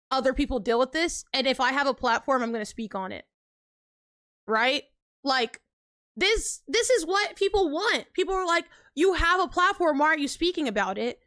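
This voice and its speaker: A young woman talks to her live-stream viewers in a high, bright voice, riffing casually and emphatically with plenty of fillers. She is recorded close and dry, with no room sound. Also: no echo. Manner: animated, filler-heavy, emphatic, frequent laughs and pitch jumps. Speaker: livestreamer.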